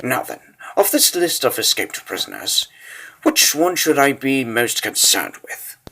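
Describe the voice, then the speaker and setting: A man's voice: medium pitch, sing-song, measured pace, creaky, sharp tone, exaggerated voice. A man playing an imperious, suspicious king in a fantasy comic dub, formal and commanding in his orders. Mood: cold, commanding. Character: imperious, suspicious male king ruling a war-torn realm.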